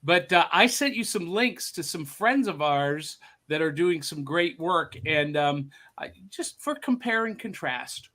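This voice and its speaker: A man's voice: fairly high pitch, expressive, a little slow, few pauses, bright, forceful tone. A middle-aged man hosting a podcast in casual chat, teasing his co-host with jokey banter.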